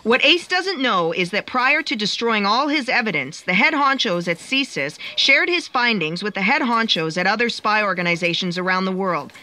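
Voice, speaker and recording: Bright, forceful, portentous destiny keeper. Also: female voice, medium pitch, lively intonation, normal speed, few pauses. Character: officious, ominous female editor of Book of Destiny. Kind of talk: dramatic scripted narration, sci-fi audio drama.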